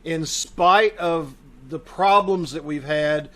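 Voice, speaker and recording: A man with a fairly high voice hosts a troupe's online gathering over a video call. He speaks earnestly and conversationally, giving informal thanks and announcements. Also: slow, a bit bright. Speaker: troupe member hosting the show. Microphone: video call microphone.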